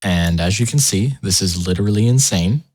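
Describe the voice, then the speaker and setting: A man with a fairly low voice presents a software tutorial. He talks casually, as if to friends, dropping in wry asides. He often breaks off his own sentences to add something. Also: expressive, a little fast. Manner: informal, self-interrupting, wry asides. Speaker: tutorial host.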